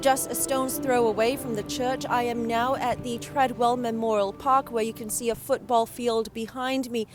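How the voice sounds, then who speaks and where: A woman news correspondent reporting from city streets in a measured, formal broadcast delivery. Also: on the high side, steady tone, music in the background.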